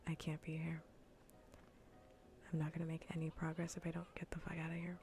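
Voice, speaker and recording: A woman whispering softly and breathily in an ASMR gaming stream, playfully bossy and dryly sarcastic, close to the microphone. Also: deep voice, monotone, fairly quick, a little hiss or hum. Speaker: livestreamer.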